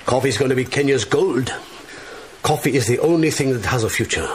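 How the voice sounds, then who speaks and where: An actor playing a breezy, feckless Swedish baron and husband in a radio drama. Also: male voice, medium pitch, fairly quick, slight background noise, lightly acted. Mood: breezy, persuasive.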